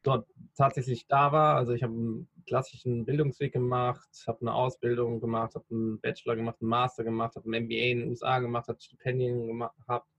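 A man in his thirties speaks as an interview guest, hesitant and reflective, often pausing on fillers. His voice is gravelly and husky, recorded dry and close. The sound is dull and lo-fi. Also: medium pitch, level tone, a bit bright, no room sound. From Rhineland, Germany. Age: 30s. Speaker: interview guest, coach and podcaster. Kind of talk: casual interview talk.

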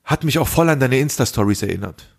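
A young man with a husky voice and a lot of creak tells anecdotes like a podcast host chatting with a friend. His talk is slangy and animated, and he acts out each side of a conversation. His pitch sits in the middle for a man. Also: quick pace. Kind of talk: casual chat, animated storytelling.

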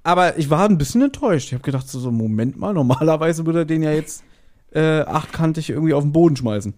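A man hosting a podcast, casually retelling a story in an animated way and acting out short quotes. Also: fairly high pitch, fast talker. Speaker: podcast host. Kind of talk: casual chatty episode recap.

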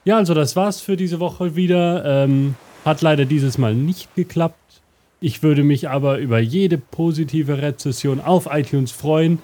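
A man hosting an interactive audio adventure as game master, with a rambling, absent-minded, deadpan delivery. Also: on the high side, a little slow. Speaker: game master and show host.

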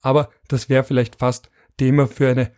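A man's voice: mid-range voice, level tone, moderate pace, darkish tone. A man hosting a podcast, reading out survey figures and musing on them in a wry, conversational way. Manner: reads out statistics, musing and wry. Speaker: podcast host.

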